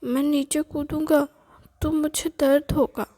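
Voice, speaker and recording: A woman's voice: high voice, slow, vocal fry, darkish tone, breathy edge, exaggerated voice. A woman putting on a whiny, pleading little boy.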